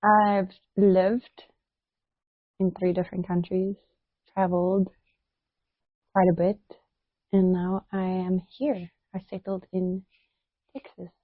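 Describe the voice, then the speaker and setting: A woman's voice: lowish voice, flat delivery, no echo. South African woman, 30s, podcast co-host, talkative, laughs easily; muffled lo-fi, dead dry room. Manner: chatty, laughs often, quick asides. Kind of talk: casual chat.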